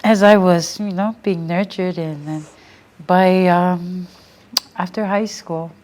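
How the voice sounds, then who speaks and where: A middle-aged woman from American Samoa whose first language is Samoan, telling her story in a relaxed interview full of fillers and restarts. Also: fairly low pitch, slow pace. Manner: many fillers and restarts, laughs readily. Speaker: guest, Samoan language teacher. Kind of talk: casual interview, reflective storytelling.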